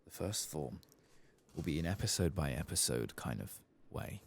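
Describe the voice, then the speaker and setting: A man giving soft-spoken ASMR commentary on a video game, unhurried and often slipping into a breathy whisper. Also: very deep voice, animated.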